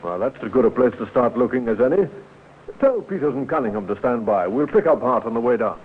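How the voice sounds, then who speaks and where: An actor playing a courteous but firm police inspector, clipped and authoritative, in a 1950s radio drama. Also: male voice, medium pitch, animated, quick pace, husky. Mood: businesslike. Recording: tinny, noisy 1950s radio recording.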